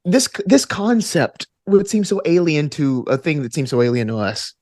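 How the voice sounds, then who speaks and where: A man talking casually as a podcast guest, animated, often restarting his sentences and chuckling as he goes. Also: a little high, normal speed, no echo, studio quality. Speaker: podcast guest, gamer and game master. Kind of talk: casual conversational interview.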